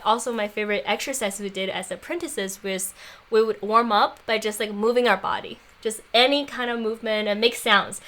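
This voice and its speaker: A young woman talking in a casual interview, thoughtful and warm, laughing often and using plenty of fillers. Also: a little high. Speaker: guest, former apprentice. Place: makeshift home studio on an island.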